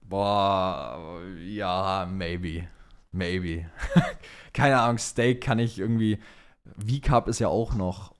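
A man's voice: deep voice, drawn-out words, clean tone. A man co-hosting a casual motorsport chat, talking informally with English slang mixed in. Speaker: podcast co-host reading listener questions.

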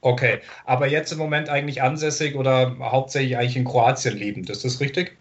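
A man's voice: medium pitch, steady tone, fast talker, a little hiss or hum. A man interviewing a tennis player, asking long, chatty questions and often stopping to search for words. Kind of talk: sports interview. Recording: dull lo-fi sound.

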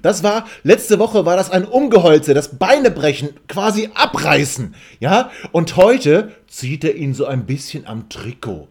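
A man's voice: fairly high pitch, sing-song, measured pace, few pauses, husky. A man hosting a casual football fan chat, teasing and repeating his phrases for emphasis. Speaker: podcast host.

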